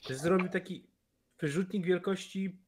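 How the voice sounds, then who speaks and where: Higher-voiced man, tabletop game player. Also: moderate pace. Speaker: role-playing game player. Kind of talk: casual in-game discussion. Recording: noticeable background noise.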